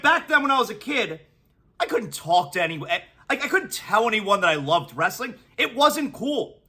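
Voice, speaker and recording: A man in his forties hosting a solo sports podcast, talking in an energetic, excitable way and reading sponsor spots between riffs on the games. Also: very high voice. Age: 40s. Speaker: sports talk host.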